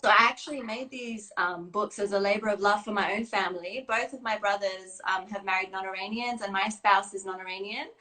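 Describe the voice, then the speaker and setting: Woman guest, chatty and modest, filler-heavy, middling pitch, live video call. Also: fairly even intonation, fairly quick, few pauses, bright, forceful tone, rough recording. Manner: chatty, self-deprecating, many fillers. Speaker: guest, children's book creator. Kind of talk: casual live-stream interview. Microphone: phone or video call.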